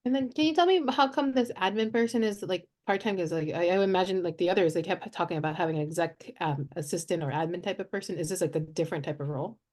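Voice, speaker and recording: A woman moderating a relaxed panel chat in a lowish voice. She asks questions in a friendly way and fills the gaps with small fillers. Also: fast talker, hardly any pauses. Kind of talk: casual panel discussion.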